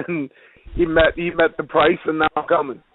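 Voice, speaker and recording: A man's voice: on the high side, level tone, moderate pace. A man giving a casual interview as a professional wrestler, blunt, streetwise and full of slang, over a phone line. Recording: hissy, noisy, tinny phone line.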